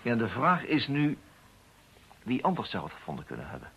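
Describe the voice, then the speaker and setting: An actor playing a dry, probing detective in a radio play, musing aloud and asking pointed questions. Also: male voice, mid-range voice, wildly swooping pitch, a little fast, vocal fry, husky, highs cut off, exaggerated voice. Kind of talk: Dutch radio play acting.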